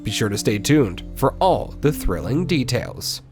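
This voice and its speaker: A man's voice: mid-range voice, big pitch swings, unhurried, music in the background. A man, the artistic director of a theater company, reading upbeat promotional announcements.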